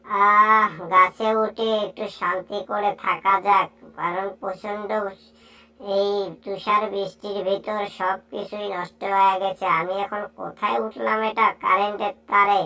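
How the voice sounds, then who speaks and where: A woman voicing an excitable, teasing cartoon animal pal in a playful, exclaiming amateur dub. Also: mid-range voice, unhurried, distant mic, low-quality recording. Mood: chatty. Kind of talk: amateur Bangla cartoon dub, improvised chatter.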